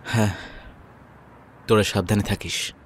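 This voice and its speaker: A man narrating a first-person audio story and acting its dialogue, in a measured, earnest way. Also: fairly low pitch, steady tone. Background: new-age music.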